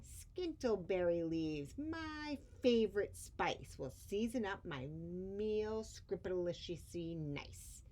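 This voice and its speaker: Storybook monster, played by a woman: greedy, gloating, slow and savoring, with a sing-song lilt. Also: medium pitch, lively intonation, dark tone. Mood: gleeful, savoring. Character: hideous smelly giant scratcher monster, gleefully hungry.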